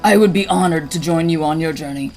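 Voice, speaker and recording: A man's voice: a little high, fairly quick, darkish tone, faint music behind, close to an everyday voice. Man as humble, courteous fantasy healer. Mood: earnest, modest. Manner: formal, polite. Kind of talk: fantasy audio drama acting.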